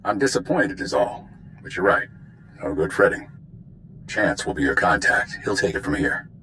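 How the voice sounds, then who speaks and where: An actor playing a gruff, stern middle-aged spymaster commander briefing agents in a video game. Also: male voice, low voice, expressive, normal speed, bright, forceful tone, a little hiss or hum, rough recording. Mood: stern, disappointed. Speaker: intelligence commander. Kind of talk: dramatic game dialogue, mission briefing.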